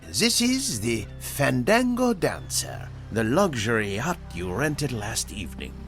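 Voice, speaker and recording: An actor playing a polite luxury yacht captain in a radio drama, formal, servile and precise. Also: male voice, mid-range voice, wildly swooping pitch, unhurried, a bit bright, faint music behind, full character voice. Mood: deferential, unruffled.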